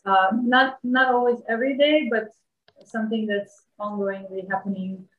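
A woman's voice: on the high side, fairly even intonation, moderate pace, phone-quality sound. Echoey, thoughtful woman from Bosnia. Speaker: interview guest. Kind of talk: reflective casual interview talk. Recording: echoey room sound.

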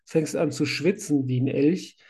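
A man with a cold chats casually into a podcast microphone. His voice sits a little high but sounds warm and mellow, and he tends to ramble and laugh along the way. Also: measured pace, slightly rough. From Germany. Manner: chatty, laughs often, rambling. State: has a cold. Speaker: podcast host, site manager.

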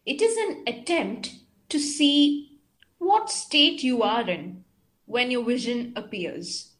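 A woman acting in an audio play as a probing, commanding mind prober who speaks in a deliberate, halting, instructing way. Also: fairly high pitch, lively intonation, a bit bright. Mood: probing, curious.

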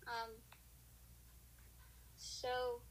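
A woman's voice: a little high, monotone, very slow. A woman chatting on a video call, hesitant and a little unsure, over a noisy connection. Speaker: participant on a group video call. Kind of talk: casual chat.

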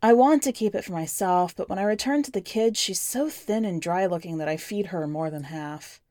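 A woman reading scripted first-person fiction as a podcast narrator, with measured, deliberate phrasing. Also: on the low side, dark tone, no echo, clean studio sound. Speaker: podcast host and story narrator.

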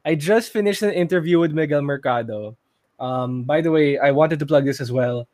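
Man, lightly high-pitched, chatting informally. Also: normal speed, darkish tone. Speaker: podcast co-host. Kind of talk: casual chat about movies.

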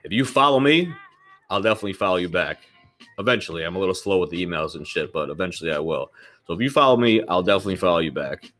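A man's voice: low-pitched, animated, fast, fairly bright tone, faint music behind. A man hosting his own podcast in loose, rambling casual talk, swearing freely and sounding slightly nasal from a lingering cold. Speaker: podcast host.

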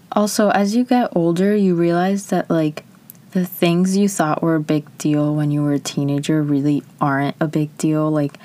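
Low-voiced chatty woman in her twenties. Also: mellow. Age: 20s. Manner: chatty, candid, frequent "like" fillers. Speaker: vlogger. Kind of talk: casual personal reflection.

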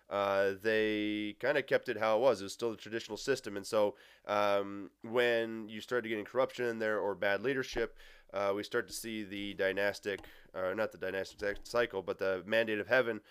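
A man giving a casual history lecture, full of uhs and restarts, his voice a bit nasal and raspy from allergies. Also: fairly low pitch, fairly bright tone, no echo, studio quality. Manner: filler-heavy, casual, frequent uhs and restarts. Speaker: history teacher. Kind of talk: recorded lecture.

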